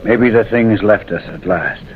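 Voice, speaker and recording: A man's voice: lowish voice, measured pace, natural voice. A haunted railway signalman , acted by a man, close to breaking point. He pleads and frets in old radio drama fashion, on a dull recording with faint noise. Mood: weary, haunted. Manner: fretful, pleading, repeats himself.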